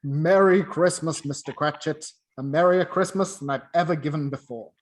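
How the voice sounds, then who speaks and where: A man's voice: a little high, normal speed, natural voice. A man playing a reformed old miser in an amateur radio play, exclaiming with hearty, emphatic generosity. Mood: hearty, generous.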